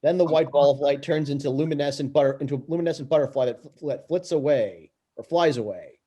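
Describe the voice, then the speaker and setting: Mellow man stumbling through stage directions. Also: fairly high pitch, husky, dull audio. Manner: halting, stumbles over words. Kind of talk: amateur script read-through.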